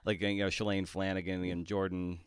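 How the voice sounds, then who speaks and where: A man's voice: low voice, flat delivery, brisk, smooth, dry recording, studio quality. A man hosting a conversational interview, feeling his way toward questions in a tentative, roundabout manner. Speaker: podcast host, interviewer.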